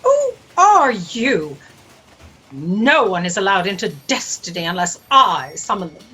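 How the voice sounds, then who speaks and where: A woman's voice: mid-range voice, sing-song, pushed and bright, breathy edge, full character voice. A woman playing an imperious, self-absorbed female boss in a haughty, dramatic way for a comic sci-fi audio drama. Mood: haughty, demanding. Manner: haughty, dramatic, drawn-out delivery.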